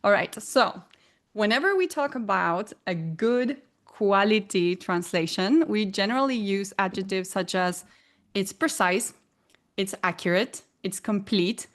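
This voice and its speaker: A woman's voice: medium pitch, lively intonation, a little slow. Argentine-accented woman presenting a lesson. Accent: Argentine Spanish. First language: Spanish. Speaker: guest presenter. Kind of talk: presenting a talk.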